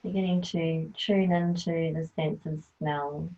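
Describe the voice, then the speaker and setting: Slow, soft-spoken woman guiding relaxation. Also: deep voice, fairly even intonation. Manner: slow, soft, soothing instruction. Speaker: yoga nidra teacher. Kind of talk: guided relaxation. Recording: tinny phone-like sound.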